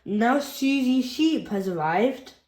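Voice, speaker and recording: Slow, expressive woman reading a children's story. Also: a little high. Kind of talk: children's read-aloud. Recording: echoey room.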